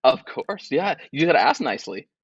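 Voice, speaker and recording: A man appearing as a guest from a tech company, pitching his product in an upbeat, animated way and repeating words as he goes. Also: brisk, husky, no echo, dull audio.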